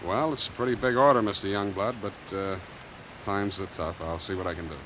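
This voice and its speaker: An actor playing a wry, unflappable private detective in sardonic, laconic 1940s radio drama style. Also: male voice, sits low, fairly even intonation, brisk, noisy background, close to an everyday voice. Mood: dry, wry. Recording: vintage radio recording with rain behind.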